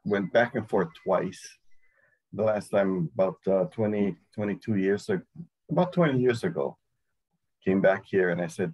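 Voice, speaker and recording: Hawaii-raised man, webinar volunteer; deep raspy voice, halting delivery. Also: darkish tone, rough recording. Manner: halting, searching for words. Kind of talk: casual webinar introduction.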